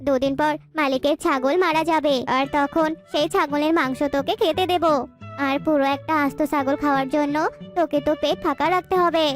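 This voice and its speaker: A woman's voice: high voice, lightly acted. A woman voicing a cunning, greedy pet rat who talks persuasively in a children's cartoon. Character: sly, greedy pet rat tricking a dog.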